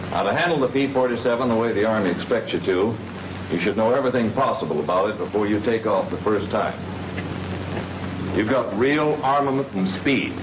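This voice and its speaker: An actor playing an easygoing, plain-spoken Army captain who teaches new fighter pilots, in a 1940s training film. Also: male voice, fairly low pitch, brisk, roomy sound, close to an everyday voice. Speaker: flight instructor. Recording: muffled old film soundtrack with hiss.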